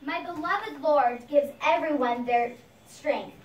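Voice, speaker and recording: A woman's voice: high voice, takes it slowly. A woman voicing a saintly, fearless young boy prince who preaches earnestly in a puppet show, sounding recited. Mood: pleading, preaching. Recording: echoey noisy room, far from mic.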